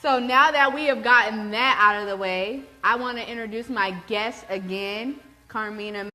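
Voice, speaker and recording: A woman's voice: fairly high pitch, normal speed, sharp tone, faint music behind, poor audio. A woman hosting a casual talk show, upbeat and affirming, speaking straight to her viewers. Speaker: host.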